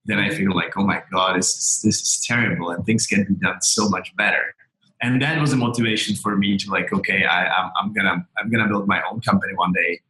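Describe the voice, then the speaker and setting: A man's voice: on the low side, steady tone, brisk, sharp tone, roomy sound, low-quality recording. A man of about forty from the Czech Republic with a Czech accent, talking conversationally as an interview guest and filling his stories with "you know" and "like". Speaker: interview guest, tech entrepreneur.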